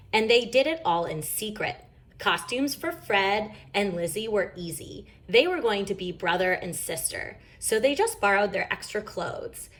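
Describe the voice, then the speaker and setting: A woman reading a children's story aloud in an expressive, emphatic storytelling manner. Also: medium pitch. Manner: animated, emphatic. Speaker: storybook reader.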